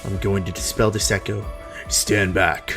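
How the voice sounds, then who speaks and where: A man voicing a calm, mysterious, protective male fighter in a story, composed, grave and measured. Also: deep voice, over music.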